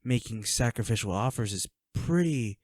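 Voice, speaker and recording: A man playing a flirty, menacing villain in an audio roleplay, speaking to the listener with taunting, mock-sympathetic, unhurried delivery. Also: mid-range voice, lively intonation, a little mellow, dry recording, clean studio sound, natural voice. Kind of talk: scripted audio roleplay acting addressed to the listener.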